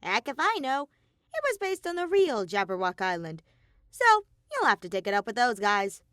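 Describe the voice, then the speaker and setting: A woman's voice: on the high side, wildly swooping pitch, moderate pace, fairly bright tone, dry recording, studio quality, voice pushed up. A woman voicing a mischievous, bossy male robot bear villain in an audiobook story, brash and snappy. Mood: brusque. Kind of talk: audiobook character voice.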